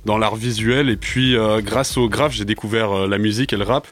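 A man's voice: on the low side, fairly even intonation, normal speed, a bit bright. A man in his twenties, a native English speaker, answering a radio interview in a studio with many hesitations and fillers. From France. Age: 20s. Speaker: rapper guest.

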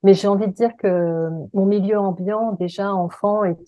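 A woman from the Paris region with a French accent, talking conversationally about herself as an interview guest, hesitant and pausing often. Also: mid-range voice, steady tone, no echo, lo-fi sound. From Paris region, France. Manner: hesitant, halting delivery with many pauses. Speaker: interview guest, voice coach and singing teacher. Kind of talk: conversational personal account.

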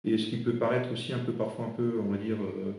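A man from eastern France whose first language is Alsatian, an interim HR director answering podcast interview questions in a halting way, full of fillers and restarts. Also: fairly low pitch, steady tone, creaky, mellow. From eastern France, Alsace. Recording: very echoey room with faint noise.

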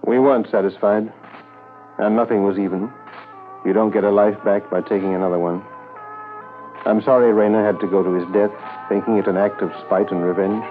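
An actor narrating a 1950s radio drama as a sober, reflective Mountie, measured and formal. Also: male voice, on the low side, steady tone, phone-quality sound, faint music behind, lightly acted. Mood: reflective, regretful.

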